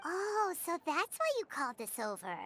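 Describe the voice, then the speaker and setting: A woman voicing a tiny, excitable childlike fairy companion in a video game, chirpy and squeaky. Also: normal speed, a little hiss or hum, heavily acted. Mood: excited, curious. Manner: chirpy, speaks of self in third person. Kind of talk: video game character dialogue.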